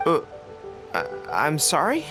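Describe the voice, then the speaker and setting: A man voicing an earnest, loyal young general in a game, polite and quick to apologize. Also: a little high, wildly swooping pitch, over music, exaggerated voice. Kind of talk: game voice acting.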